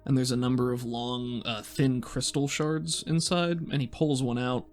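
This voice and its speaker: Creaky-voiced man narrating a tabletop game. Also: medium pitch, fairly even intonation, moderate pace, soft background music. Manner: descriptive, conversational, thinking aloud. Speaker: game master.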